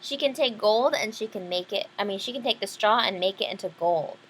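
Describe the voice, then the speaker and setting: A woman's voice: mid-range voice, expressive, a little fast, a bit bright, a little hiss or hum, close to an everyday voice, rough recording. A woman voicing a proud, foolish storybook miller who brags in a gushing way about his child. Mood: boastful. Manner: bragging, gushing.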